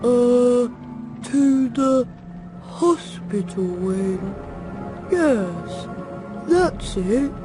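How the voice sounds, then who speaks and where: An actor playing a kindly, earnest schoolboy wizard hero in a video game, polite and hesitant. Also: medium pitch. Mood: nervous, evasive.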